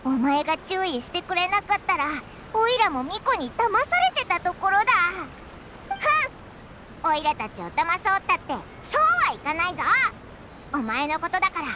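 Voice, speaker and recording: A woman voicing a small, excitable, cheeky childlike fairy in a Japanese anime game, with blunt boyish speech. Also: very high voice, moderate pace, heavy background noise. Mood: indignant.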